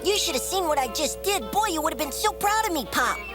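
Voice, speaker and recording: A woman's voice: high-pitched, lively intonation, a little fast. A woman voicing an earnest, innocent little hedgehog boy in a cartoon, eager and childlike. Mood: eager, proud. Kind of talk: animated cartoon voice acting. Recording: music behind the voice.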